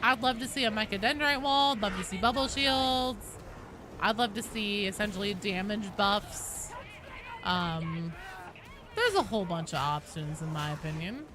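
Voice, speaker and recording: A woman's voice: medium pitch, lively intonation, normal speed. A woman game streamer chatting casually and answering viewers, opinionated and enthusiastic, with casual swearing. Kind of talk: casual livestream chat reading and answering viewers.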